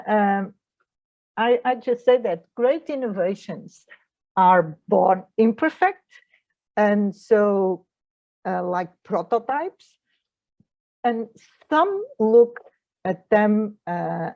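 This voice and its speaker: Portuguese-accented woman with a gravelly, mellow voice. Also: medium pitch, dull audio. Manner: frequent hesitations, non-native phrasing. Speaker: mediator and trainer giving a lecture. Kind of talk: online lecture presentation.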